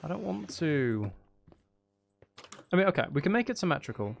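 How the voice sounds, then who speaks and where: Gravelly man chatting over a game. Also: a little high, very animated, moderate pace. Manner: rambling, self-mocking, sweary, thinking aloud. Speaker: gaming video host. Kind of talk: casual chatty Minecraft game commentary.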